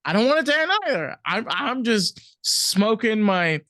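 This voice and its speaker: A woman with a New York accent co-hosting a podcast, animated and playfully defensive, stumbling over quick restarts. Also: a little high, no echo, studio quality. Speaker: podcast co-host. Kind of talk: casual chat.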